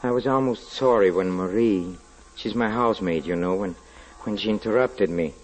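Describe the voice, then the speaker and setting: An actor playing a sensitive, nervous French gentleman in a 1940s radio drama, speaking haltingly and repeating his words as if writing a diary. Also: fairly low pitch, lightly acted. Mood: dreamy, fond. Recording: vintage 1940s radio broadcast with music.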